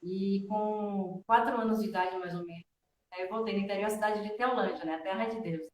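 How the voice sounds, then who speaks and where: A woman's voice: mid-range voice, brisk. A woman with a northeastern Brazilian accent, a guest telling personal stories casually and conversationally, often checking for agreement. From Salvador, northeastern Brazil. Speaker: interview guest, devotional student. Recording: roomy echo, distant from microphone.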